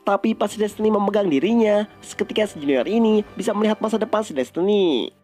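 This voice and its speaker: A man's voice: high-pitched, lively intonation, fast talker, darkish tone, soft background music. A man with an Indonesian accent narrates a film recap in a casual style.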